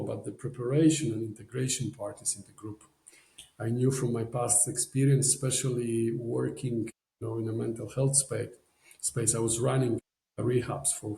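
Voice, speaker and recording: Man, Polish accent, gravelly mellow voice, rambling fillers, echoey room. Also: mid-range voice, fairly even intonation, normal speed. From Poland. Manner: rambling, many "you know" fillers, non-native phrasing. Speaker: podcast guest. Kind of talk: casual storytelling.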